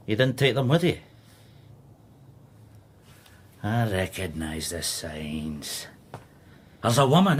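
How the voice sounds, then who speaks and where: An actor playing a cheeky, wheedling brother in trouble in a radio drama, with a husky voice and teasing manner. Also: male voice, a little high, slight background noise. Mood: cheeky, nosy. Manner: teasing, pleading, chuckling.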